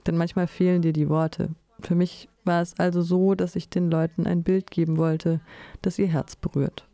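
A woman delivering a translated voice-over in measured, fluent and orderly sentences. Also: fairly low pitch, little pitch movement, warm tone, dry recording. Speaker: voice-over interpreter.

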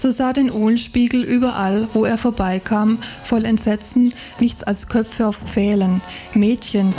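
Dark, mellow woman reading literature aloud. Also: mid-range voice. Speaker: reader of book excerpts.

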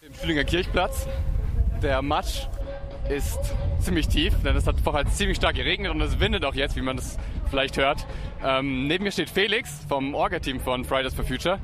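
A man's voice: a little high, moderate pace, music in the background. A man reporting for radio and interviewing on location in a windy outdoor square.

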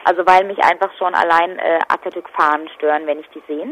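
A woman's voice: lowish voice, fairly even intonation, fast, a bit bright. A woman giving spontaneous interview answers as an activist. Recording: dull, lo-fi telephone line.

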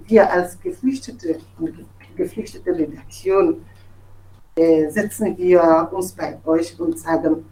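A woman from Togo with a West African accent speaks German as a non-native, giving a halting, impassioned statement and repeating words for emphasis. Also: fairly low pitch, dark tone, distant mic, rough recording. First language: not German. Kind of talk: impassioned spoken statement, unscripted.

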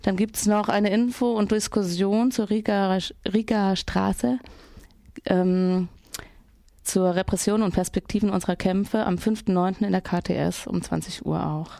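Female community radio presenter, soft dark tone, dry close sound, halting delivery of informal announcements. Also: medium pitch, steady tone, creaky, dry recording. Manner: halting, reading out dates. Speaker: radio host.

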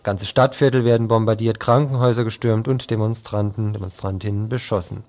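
A man with a fairly low-pitched voice presents on local radio. He reads out announcements for events, moving between prepared text and brief remarks of his own. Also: fairly even intonation, brisk, darkish tone, slight background noise.

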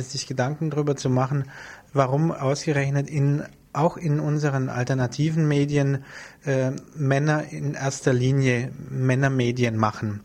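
A man with an average-pitched voice answers questions as a guest in a radio studio. He explains things in an orderly, matter-of-fact way without a script. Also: a little mellow. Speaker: radio station staffer, interview guest. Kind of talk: unscripted explanatory interview answers.

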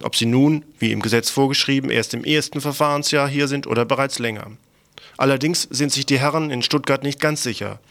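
A man's voice: mid-range voice, creaky, fairly bright tone. A man presenting a scripted radio news report in a matter-of-fact, critical, faintly sardonic way.

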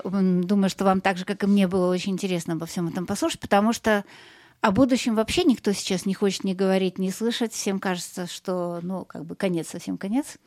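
Reflective woman host, mid-pitched voice. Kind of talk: conversational discussion.

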